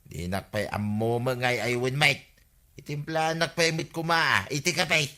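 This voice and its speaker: Whiny, sharp-voiced Ilocano village husband. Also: male voice, mid-range voice, unhurried. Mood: flustered, protesting. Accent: Filipino, Ilocano. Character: grumbling henpecked village husband, farmer-vendor who likes a drink. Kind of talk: comedic radio drama acting.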